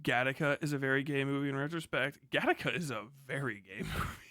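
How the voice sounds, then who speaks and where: A man in his thirties chatting casually as a livestream host, swearing freely and riffing in a sarcastic, animated way. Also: mid-range voice, fast, dry recording, clean studio sound. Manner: profane, animated, sarcastic ranting.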